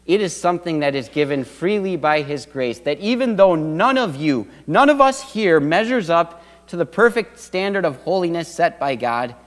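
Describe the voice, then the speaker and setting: A man's voice: a little high, lively intonation, moderate pace, few pauses. A middle-aged man preaching a sermon from a church pulpit, earnest, with swells of emphasis. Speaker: pastor. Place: church sanctuary at a wooden pulpit. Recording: long church reverb.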